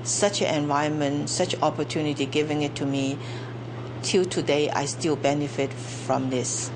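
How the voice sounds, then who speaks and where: An older woman from China, a business owner telling her story slowly and reflectively in an interview. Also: low voice, steady tone, low-quality recording. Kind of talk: interview testimony.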